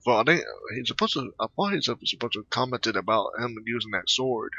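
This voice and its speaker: A man giving casual, rambling commentary over a game playthrough, halting and often repeating himself. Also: medium pitch, lively intonation, sharp tone, dry recording. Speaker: gaming video host. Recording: dull, lo-fi close dry mic.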